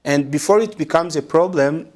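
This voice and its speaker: Measured man, frequent pauses. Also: fairly high pitch. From Congo. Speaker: guest, religious brother and psychologist. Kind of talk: interview answers.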